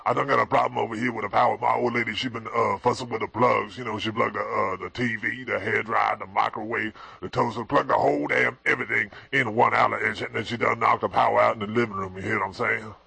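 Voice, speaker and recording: A man on a comic prank call, rambling and complaining over and over, sometimes shouting at someone in the room. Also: on the low side, fairly even intonation, quick pace, vocal fry, few pauses, breathy edge, no room sound. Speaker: prank caller. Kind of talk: comic phone rant.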